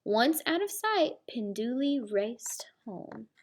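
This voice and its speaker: A woman's voice: fairly high pitch, big pitch swings, slow pace. A woman reading a children's picture book aloud like a teacher, with explanatory asides. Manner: explains words and story asides. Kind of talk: children's storybook read-aloud.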